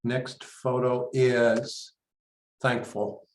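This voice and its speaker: A man on a video call, slowly handing out compliments on photos. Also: mid-range voice, level tone. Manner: warm compliments, slow. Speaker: club member commenting on photos. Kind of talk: casual chat. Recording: echoey room on a video call.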